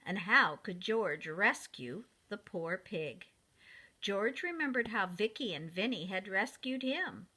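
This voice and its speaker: A woman reads a storybook to preschool children with a very lively, swooping pitch. Her delivery is slow and deliberate, and her voice is bright and clear in its address to the young listeners. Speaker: preschool teacher reading a picture book.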